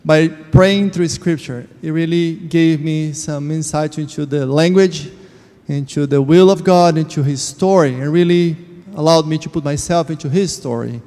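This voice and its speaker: A man shares a personal faith testimony, earnest and reflective, slowing down on the words that matter to him. His voice sits slightly high for a man. A long reverb from the church hall trails behind every phrase. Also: mellow. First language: Portuguese. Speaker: church member sharing a testimony.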